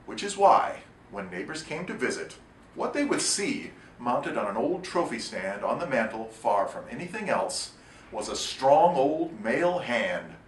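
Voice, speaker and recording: A man reading his own short story aloud in an expressive, wry and deliberate storytelling manner. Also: mid-range voice. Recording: echoey room, distant mic, faint noise.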